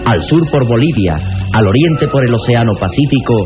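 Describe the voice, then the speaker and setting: Man, mid-pitched, grand florid narration, 1960s radio drama, lo-fi recording. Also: animated, fairly quick. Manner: solemn, florid, declamatory. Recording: dull 1960s radio recording, loud music behind.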